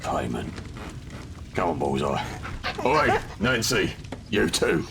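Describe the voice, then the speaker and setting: A man playing a brutal, short-tempered London criminal in an audio drama, with a gravelly voice and a gruff, threatening manner. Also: deep voice, very animated, slow, noisy background, heavily acted. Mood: gruff, domineering.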